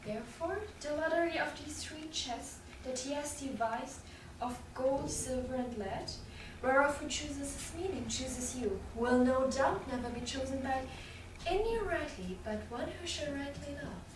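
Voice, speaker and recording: A sensible, teasing lady's companion in Shakespearean drama played by a woman, with a high voice. She sounds far off in a very echoey room, with noticeable noise behind the reading. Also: a little slow. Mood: sensible, reassuring. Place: reverberant room, staged reading.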